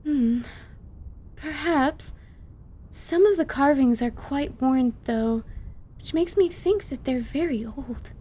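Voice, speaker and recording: A woman's voice: high-pitched, takes it slowly, long pauses, dark tone, slight background noise, pitched above the performer's own voice. A woman voicing a polite, bookish young female spellcaster in an audio drama, speaking formally and carefully. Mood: earnest, measured. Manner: formal, careful, hesitant phrasing.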